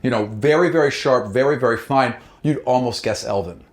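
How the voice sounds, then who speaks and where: A man's voice: medium pitch, brisk. A man narrating a tabletop fantasy game as game master, shifting between descriptive storytelling and casual table talk. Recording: music bed under voice. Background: background music.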